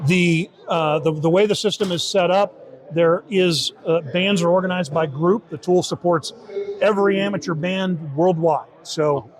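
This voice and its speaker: A man giving an informal interview demo of a software tool, explaining step by step and often rephrasing as he goes. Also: high-pitched, measured pace. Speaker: software team member demonstrating a beta tool.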